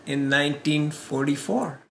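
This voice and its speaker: An older Indian man in his seventies speaks slowly, with a playful, amused manner. His voice sits a bit high, and the echoey room has faint ambience behind him. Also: creaky, a little hiss or hum. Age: 70s.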